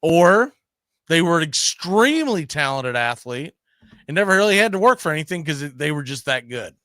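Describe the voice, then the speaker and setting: A man's voice: on the high side, sing-song, moderate pace, fairly bright tone, no room sound, studio quality. A man hosting a casual baseball talk show, conversational and often checking in with tag questions. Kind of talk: casual sports discussion.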